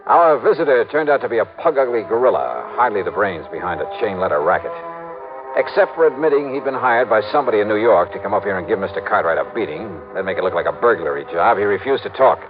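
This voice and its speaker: Casting: wry, tough radio gumshoe, swinging intonation, clipped delivery, old tinny broadcast. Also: male voice, fairly low pitch, sing-song, fast, music in the background. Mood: wry narration. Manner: clipped, hard-boiled. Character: tough, wry male insurance investigator narrating his case. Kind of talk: 1950s radio detective acting and narration.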